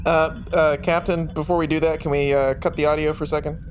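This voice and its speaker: A tabletop game player talking in casual, animated table chat. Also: mid-range voice, fast talker, a little hiss or hum.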